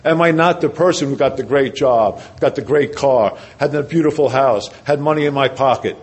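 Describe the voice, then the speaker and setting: An older man speaks to a packed convention hall, sharing his own recovery story. His voice sits at an ordinary middle pitch. He stays conversational and pokes fun at himself, then sinks his voice low and quiet when a point matters. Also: normal speed, husky. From New Jersey. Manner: conversational and self-deprecating, drops his voice low and quiet for emphasis. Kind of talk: personal testimony talk.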